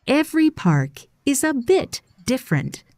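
A woman narrating a scripted children's read-aloud, with deliberate, expressive phrasing for young readers. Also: sits high, dry recording, studio quality. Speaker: audiobook narrator.

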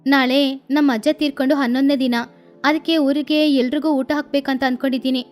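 A woman's voice: high voice, flat delivery, brisk, a little mellow, faint music behind, natural voice. A woman voicing a worried mother sparrow who frets aloud while hosting a feast. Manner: worried, fretting.

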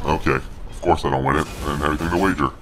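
Very deep, cocky monster-voiced man. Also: steady tone, rapid-fire, fairly bright tone. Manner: cocky, crude trash talk. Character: snarky, boastful big male monster brute. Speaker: gaming video host. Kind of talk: snarky gameplay commentary in a put-on monster voice. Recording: home recording with noisy game sound. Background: fighting game sound effects.

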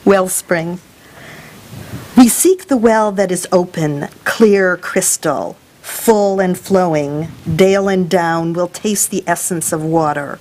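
Woman reading a poem, low and slow. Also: a little mellow, rough recording. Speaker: poetry reader. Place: lecture room. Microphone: podium microphone.

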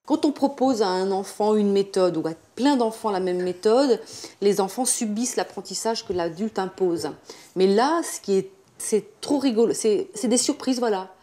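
A woman's voice: medium pitch, moderate pace, mellow. A middle-aged woman, a homeschooling mother, speaking in a casual interview at home, loose and hesitant with many restarts. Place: home interior, living room.

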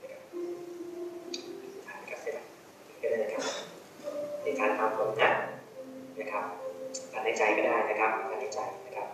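Earnest Thai preacher in an echoey hall. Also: male voice, on the low side, monotone, moderate pace, long pauses, far from the mic, background noise. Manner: polite, earnest, many polite particles. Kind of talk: altar call sermon. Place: large church hall with stained glass.

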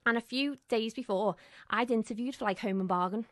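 A young woman, a voice actress guest telling lively stories with quoted voices in a radio interview. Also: on the high side, no room sound, clean studio sound. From Merseyside, England. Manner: bubbly, fast storytelling with voiced quotes. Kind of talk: lively anecdotal chat. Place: radio studio. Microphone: studio mic.

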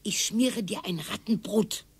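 An actress playing a sharp-tongued retired wife over sixty in a radio play, sarcastic and theatrical. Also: female voice, medium pitch, measured pace, slightly rough, no room sound. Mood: mocking. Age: older. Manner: sarcastic and theatrical, swings from loud to whispered.

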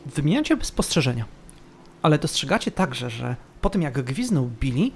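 A man narrating a tabletop fantasy game as game master, storytelling with dramatic, deliberate pauses. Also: fairly high pitch, slight background noise.

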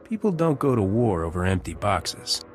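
Give me a wry space smuggler for a game, slightly deep, cocky, faint music behind. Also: male voice, sing-song, normal speed. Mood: flippant, defiant. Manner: wry, flippant. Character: cocky, wisecracking male smuggler captain. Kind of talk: video game acting.

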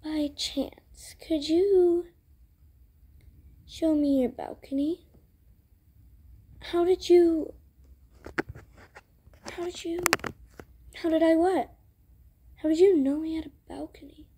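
A woman with a high voice acts out an improvised roleplay story. The delivery is slow and halting, with a soft, mellow tone and pauses between phrases. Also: steady tone. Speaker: video creator voicing all characters. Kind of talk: improvised character roleplay storytelling for a toy-world game video.

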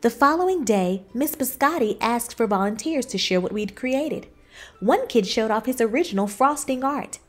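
A woman reading a children's book aloud in expressive storybook narration. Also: on the high side, moderate pace, soft background music. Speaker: children's book reader, channel host.

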